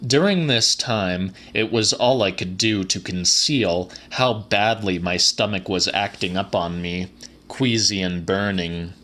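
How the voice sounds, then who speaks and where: A man reads literary fiction as a dramatic audiobook. His voice is on the low side and bright, with a firm edge. He takes his time, very slow and deliberate through each phrase. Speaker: audiobook narrator.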